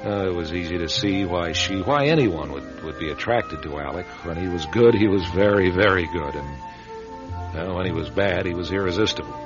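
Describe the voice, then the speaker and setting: A wistful American friend telling a story in a radio drama. He is low-pitched, halting and confiding, with wry asides. Music plays clearly behind the slightly muffled recording. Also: lively intonation. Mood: wry, reminiscing. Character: wistful, wry man narrating his charismatic friend's rise. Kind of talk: radio drama first-person narration.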